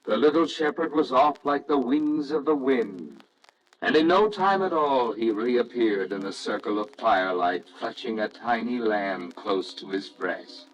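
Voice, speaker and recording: A man narrating a scripted story in a classic radio reading style, expressive, measured and reverent. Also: medium pitch, muffled recording.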